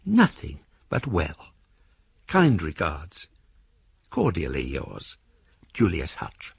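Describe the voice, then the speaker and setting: An actor playing a courtly, pompous older gentleman in a radio drama, reading his ornate letters aloud. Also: deep voice, wildly swooping pitch, slow pace, gravelly, slightly rough, no room sound, dull audio, full character voice. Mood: courteous, effusive. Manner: pompous, flowery, ornate phrasing. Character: courtly, florid older gentleman, newly rich and travelling.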